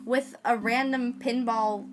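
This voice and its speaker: A woman co-hosting a fan podcast in casual chat, with tentative, halting phrasing. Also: fairly high pitch, steady tone, over a noisy crowd. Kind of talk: casual chat about an audio drama.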